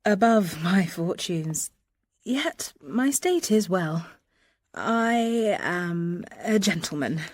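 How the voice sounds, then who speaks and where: A young woman passing as a gentleman envoy, earnest and ardent. The verse is unhurried and lyrical, with bold feeling, in classical radio drama style. The sound is close and dry. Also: on the low side, animated, no room sound, exaggerated voice. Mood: proud, offended. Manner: earnest, lyrical, deliberate delivery.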